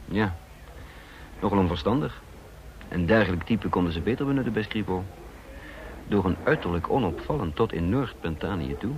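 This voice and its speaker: An actor playing a calculating double agent who poses as a counterintelligence chief, measured and probing in a radio play. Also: male voice, low voice, lively intonation, lo-fi sound. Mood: measured, musing. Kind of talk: Dutch radio play acting and first-person narration. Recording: noisy old radio play recording.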